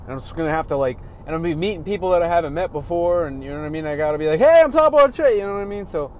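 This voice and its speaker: A man's voice: a little high, expressive, very fast. A young man chatting casually to the camera while driving, rambling and joking with goofy outbursts, filmed at arm's length. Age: young adult. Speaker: fishing vlogger. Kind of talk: casual vlog talk to camera. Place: inside a pickup truck while driving. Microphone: phone or action camera at arm's length. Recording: road noise from a moving car.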